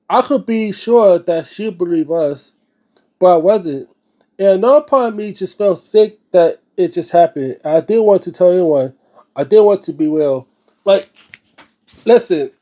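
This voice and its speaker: Guy w a pretty high but mellow voice reading a book out loud, kinda stumbles, chimes in casually like hes talking to u. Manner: stumbles over words while reading, informal interjections. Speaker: host reading a book aloud and reacting. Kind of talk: read-aloud narration with casual, off-the-cuff reactions.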